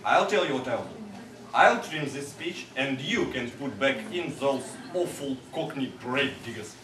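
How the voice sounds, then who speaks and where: Persuasive, flattering producer, played by a man, animated, distant echoey recording. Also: medium pitch, a little slow, noisy background, lightly acted. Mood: coaxing. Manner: wheedling and persuasive. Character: pushy, flattering theatre producer.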